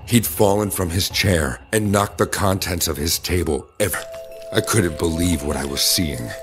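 A man's voice: low voice, measured pace, fairly bright tone. A man reading a scripted first-person horror story as its narrator. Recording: music bed under the voice. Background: dramatic background music.

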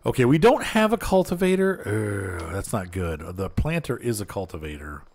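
A man's voice: medium pitch, very animated, moderate pace, darkish tone. A man chatting casually and rambling to viewers while playing a farming game, laughing often. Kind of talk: casual gameplay chat.

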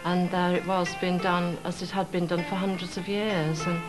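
A woman with a somewhat low voice speaks thoughtfully in an interview, pausing on fillers as she goes. Music plays clearly underneath. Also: flat delivery, poor audio. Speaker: costume designer.

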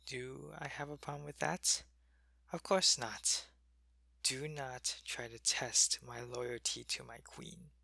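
A man voicing a sick male alien changeling soldier, loyal to his queen, who talks in a soft, weary ASMR whisper. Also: mid-range voice, slow, vocal fry, no room sound, exaggerated voice. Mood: weary, ill. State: sick and weak, in pain. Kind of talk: ASMR roleplay.

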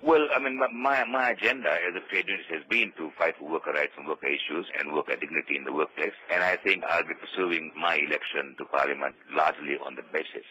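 A man from Fiji giving a radio interview as a trade unionist, measured and formal, often saying "you know". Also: very deep voice, sharp tone, husky. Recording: muffled, noisy shortwave radio broadcast.

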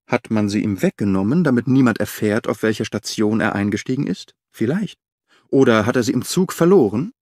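A man voicing a sharp, analytical detective who reasons briskly and incisively in a dry recording. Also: on the low side, animated, no echo. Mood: intrigued.

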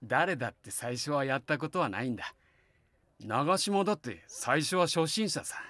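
A man's voice: a little high, expressive, moderate pace, a bit bright, dry recording. A man playing a kindly, wistful middle-aged family man in a Japanese voice drama, both narrating reflectively and talking in dialogue. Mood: reassuring. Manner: reflective storytelling alternating with hearty, coaxing dialogue.

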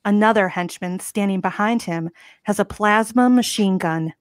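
A woman's voice: medium pitch, normal speed, no echo, studio quality. A woman reading scripted stage directions as narrator of a comic table read. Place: home, video call.

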